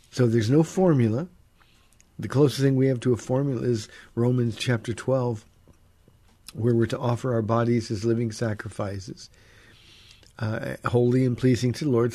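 A man hosting a call-in radio show as a pastor, giving Bible teaching in a relaxed, conversational way with frequent fillers. His voice sits deeper than usual because he is under the weather. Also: dry recording. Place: radio studio.

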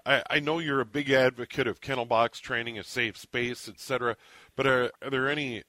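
A man's voice: mid-range voice, steady tone, a little fast, pushed and bright, no echo. A man hosting a radio call-in show, asking questions with frequent stammered restarts. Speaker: radio talk show host. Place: radio studio. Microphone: studio microphone.

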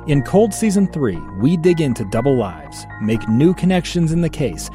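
A man's voice: a little high, very animated, normal speed. A man narrating a scripted true-crime podcast trailer. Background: music.

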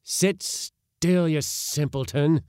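Guy doing a bossy old uncle inventor in a storybook, high pitched, super swoopy and exclamatory. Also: sing-song, moderate pace, dry recording, studio quality. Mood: impatient, alarmed. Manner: exclamatory, commanding, bombastic. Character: impatient, blustering, grandiose elderly uncle, an inventor. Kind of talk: audiobook character voice.

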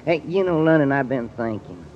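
A man's voice: mid-range voice, animated, fairly quick, close to an everyday voice. An actor playing a folksy, boastful and sly Texan businessman in an old radio drama, with a jovial drawl. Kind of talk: 1940s-1950s radio acting. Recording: noisy, muffled old radio recording.

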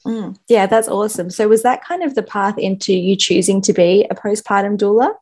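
A woman hosts a podcast in an easy, chatty way, with plenty of fillers and a bright, upbeat tone. Her voice is croaky and rough today. She sounds a little set back from the microphone. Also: mid-range voice, steady tone, a little fast. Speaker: podcast host. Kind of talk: casual interview chat.

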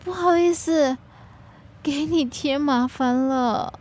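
An actress playing an anxious young wife in a radio drama. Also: female voice, high voice, slow pace, a little mellow. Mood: groggy, unwell. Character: anxious young wife, tipsy, later hungover and fretful. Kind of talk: Mandarin radio drama acting.

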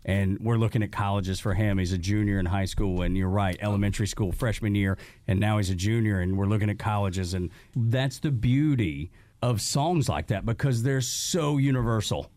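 A man's voice: on the low side, moderate pace, a little mellow, no echo. A podcast host in his forties chatting casually and enthusiastically, rambling and repeating words as he searches for them. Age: 40s. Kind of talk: casual chat.

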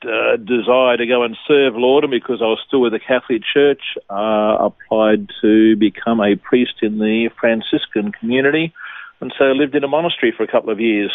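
An Australian man from New South Wales speaks calmly and earnestly, reflecting on his life of faith. His voice comes over a narrow, phone-like line that sounds dry and tinny. His pitch sits in an ordinary middle range. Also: moderate pace, no room sound. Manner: calm, earnest, devout. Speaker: ministry leader, interview guest. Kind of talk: reflective conversational storytelling.

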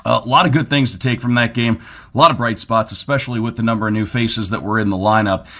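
A man's voice: fairly low pitch, fairly even intonation, fast talker, bright, forceful tone. A man hosting a sports radio show in a chatty, conversational and emphatic way, mixing commentary with promotional reads. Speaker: sports radio show host and team broadcaster.

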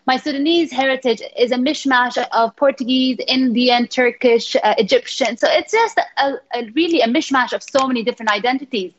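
A woman's voice: sits high, fairly quick, few pauses, lo-fi sound, a little hiss or hum. A woman born in England, a radio guest, chatting casually and telling stories with many fillers and restarts.

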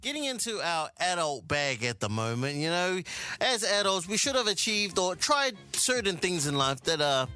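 A man's voice: high-pitched, sing-song, moderate pace, hardly any pauses, bright, forceful tone. A man with a New Zealand accent hosting a radio show with casual, joking, slangy banter into a close studio mic. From South Auckland, New Zealand. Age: adult. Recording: music bed behind the voice.

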